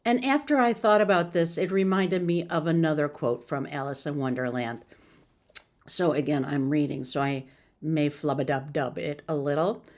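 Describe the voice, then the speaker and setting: A woman's voice: sits low, normal speed, darkish tone. A woman giving an informal, encouraging motivational talk as a success coach, sometimes stumbling over her words.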